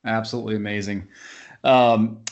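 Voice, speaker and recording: A man hosting a casual interview, upbeat and quick with enthusiastic reactions. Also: on the low side, steady tone, dull audio. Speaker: podcast host.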